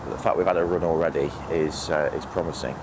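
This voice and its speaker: A British man with a croaky, sniffly voice from a cold, chatting casually and a little hesitantly as a fishing vlogger outdoors. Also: very deep voice, fairly even intonation, fast talker, noisy background. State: has a cold. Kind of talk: casual outdoor vlog talk to camera. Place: outdoors on a lake bank.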